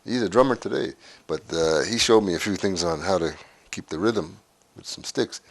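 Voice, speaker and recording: A man in his sixties from Canada, recalling his life story in a documentary interview, unhurried and halting with frequent pauses. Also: low-pitched, animated, dry recording. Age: 60s.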